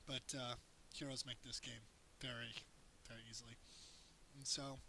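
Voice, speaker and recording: Hoarse man explaining his game play. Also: mid-range voice, little pitch movement, normal speed, bright, forceful tone, slight background noise, poor audio. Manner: explains as he plays, loose and rambling. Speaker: gameplay commentator. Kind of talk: casual game tutorial commentary.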